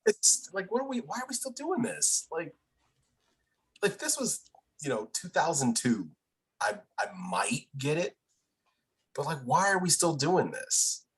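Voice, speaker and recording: Husky-voiced man in blunt casual chat. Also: fairly high pitch, sing-song, unhurried, pauses often. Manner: blunt, informal, emphatic. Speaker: podcast guest.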